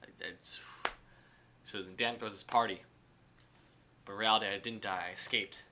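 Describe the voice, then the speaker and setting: A young man co-hosting a casual podcast with friends, telling a long animated story and laughing often. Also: lowish voice, moderate pace, noisy background. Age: young adult. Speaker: podcast co-host. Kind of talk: casual chat among friends.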